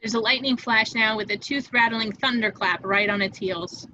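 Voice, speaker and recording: A woman's voice: a little high, normal speed, sharp tone, muffled recording, a little hiss or hum. A woman reading screenplay stage directions as the narrator of a table read.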